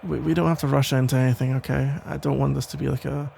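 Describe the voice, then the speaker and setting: A man playing a protective, tender police officer ex-boyfriend in an intimate ASMR roleplay, speaking in halting, reassuring phrases with a gravelly voice. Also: medium pitch, level tone, mellow, a little hiss or hum. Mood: tender, hopeful. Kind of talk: intimate ASMR roleplay, soft conversational acting.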